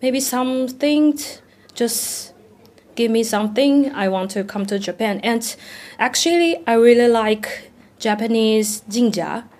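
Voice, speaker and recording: A young woman from Taiwan, answering interview questions in halting English, pausing to find words and laughing often. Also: on the high side, lively intonation, darkish tone. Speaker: singer guest.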